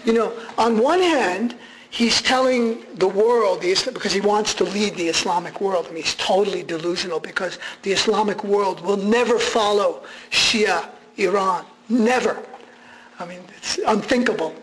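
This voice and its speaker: A man's voice: sits high, normal speed, low-quality recording. A man whose first language is Armenian, giving a conversational public lecture with frequent restarts and fillers.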